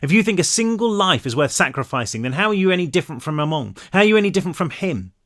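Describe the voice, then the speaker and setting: A man's voice: fairly high pitch, animated, normal speed, a bit bright. A man voicing an earnest, protective man in an audiobook, appealing with urgency and conscience. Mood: defiant.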